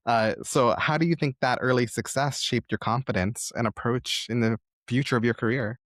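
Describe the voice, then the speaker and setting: A man's voice: medium pitch, steady tone, moderate pace, fairly bright tone, no room sound, studio quality. A man hosting a podcast interview in a conversational, admiring and reflective way.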